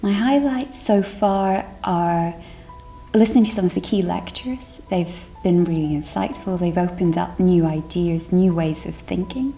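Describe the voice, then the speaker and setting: Gravelly, low-voiced woman. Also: a little slow, mellow, faint music behind, poor audio. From Scotland. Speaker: policy committee staffer. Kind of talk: interview testimony. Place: indoor room with curtain backdrop.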